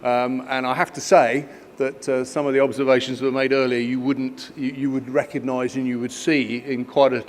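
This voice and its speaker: Man in his fifties, halting conference speech, faint background noise. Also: mid-range voice. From Kenya. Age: 50s. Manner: halting, many restarts and fillers. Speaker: guest speaker at an education conference.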